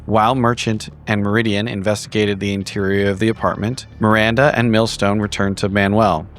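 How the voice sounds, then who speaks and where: A man reading a scripted horror game recap in a formal, measured storytelling manner. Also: fairly low pitch, a little hiss or hum. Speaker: player giving the story recap.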